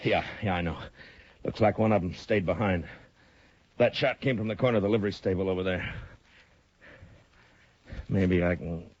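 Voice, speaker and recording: Actor as a calm frontier marshal, very low voice, terse low-key commands, 1950s radio drama, lo-fi broadcast with hiss. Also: male voice, moderate pace, long pauses, natural voice. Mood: determined. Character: calm, steady frontier marshal, adult man. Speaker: lawman.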